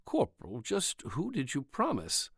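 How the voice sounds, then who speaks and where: A gentle military doctor in an audiobook, acted out by a male narrator. He questions his patient with measured, puzzled care. The pitch moves freely and the sound is very dry. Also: huge pitch swings, vocal fry, slightly rough, no room sound, full character voice. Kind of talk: audiobook character dialogue.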